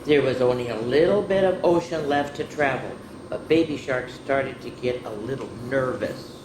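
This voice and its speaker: A woman reading picture books aloud to children, stopping for chatty asides to her young listeners. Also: moderate pace. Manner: animated, switches into higher voices for characters. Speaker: children's storyteller. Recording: echoey room with faint ambient noise.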